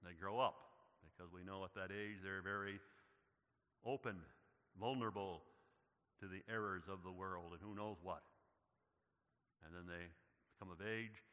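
A lower-pitched man preaches a sermon with a bright, forceful tone. His delivery swells into loud, emphatic declarations. His voice rings with the long reverb of a church hall. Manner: builds to loud, emphatic preaching, addresses listeners as beloved. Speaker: pastor.

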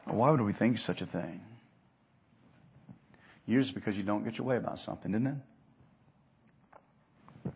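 A man preaching an expository sermon in an earnest, emphatic manner, moving between hushed and loud delivery with rhetorical questions and repeated phrases. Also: on the low side, unhurried, gravelly, long pauses, dull audio, a little hiss or hum.

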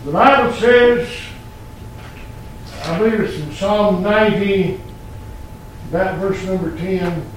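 An old man in his eighties preaching a sermon in a church, quoting scripture in slow, emphatic phrases. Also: sits high, slight background noise. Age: 80s. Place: church sanctuary. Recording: very echoey church from far off.

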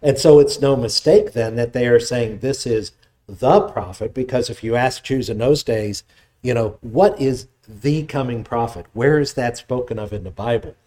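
Mellow-voiced man preaching a sermon. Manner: measured expository preaching, voice drops low in prayer. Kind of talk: sermon with scripture reading and prayer.

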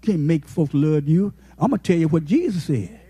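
A man in his eighties, a pastor, preaching a folksy, impassioned sermon that rises to shouted peaks. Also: fairly high pitch, expressive, normal speed, husky. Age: 80s.

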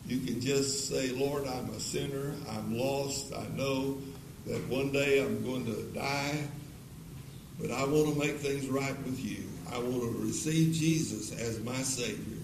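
A man's voice: mid-range voice, fairly even intonation, measured pace. A man in his eighties preaching the closing of a sermon in a church auditorium, pleading earnestly with repeated questions and leading an altar call. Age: 80s. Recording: echoey auditorium from a distance with noise.

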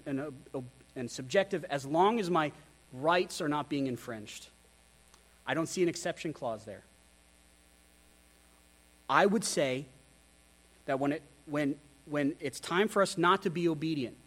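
A man with a notably high voice delivering a sermon. He talks with candor and a self-deprecating streak, and he keeps asking his listeners rhetorical questions. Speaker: pastor.

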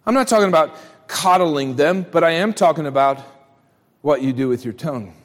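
A man's voice: a little high, brisk. A middle-aged American man preaching a sermon as a pastor, speaking in an emphatic, folksy way straight to his listeners and repeating phrases. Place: large church hall, live service. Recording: long hall reverb on voice.

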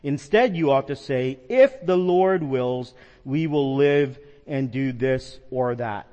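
A man's voice: mid-range voice, slow pace, mellow, long reverb tail, highs cut off. A man from the New York metro area preaching a sermon as a pastor, earnest and conversational with some fillers. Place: church sanctuary.

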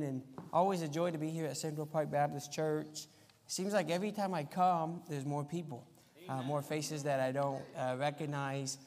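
Guy preaching at church, missionary type, kinda high voice, plain talking, big echoey hall. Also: darkish tone. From Argentina, as a missionary kid. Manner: informal, plain-spoken, addressing congregation directly. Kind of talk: sermon with anecdotes and prayer. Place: church sanctuary, live Sunday service.

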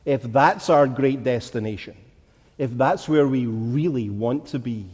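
A man's voice: mid-range voice, unhurried, darkish tone, slightly rough. A man with a Glaswegian Scottish accent preaching a sermon in an earnest, rhetorical manner, leaning on emphatic repetition. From Glasgow, Scotland. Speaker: pastor. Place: large echoing church or hall. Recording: reverberant hall.

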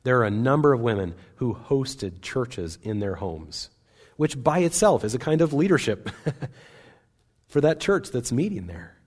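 A man's voice: mid-range voice, very animated, unhurried, darkish tone, breathy edge. A man preaching a sermon in a church service, speaking in an earnest, conversational way with small restarts.

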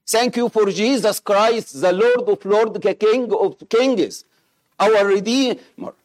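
A middle-aged man from Egypt, Arabic-speaking, with an Egyptian accent, preaching and praying in halting English with many pauses and fillers. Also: high voice. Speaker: missionary preacher. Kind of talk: sermon with scripture reading and prayer.

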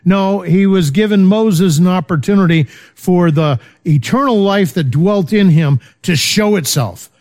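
A man's voice: high voice, unhurried, hardly any pauses, a little mellow. A man teaching a Bible study in a loose, conversational way, full of fillers and checking in with his listeners. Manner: informal, many fillers, checks in with "okay?".

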